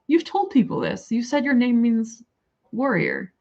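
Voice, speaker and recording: A woman's voice: fairly high pitch, huge pitch swings, measured pace, gravelly, a little mellow, highs cut off. A woman with a Canadian accent, chatting casually and rambling to her viewers on a livestream. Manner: rambling, chatty, thinks aloud and answers chat. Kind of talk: casual live chat.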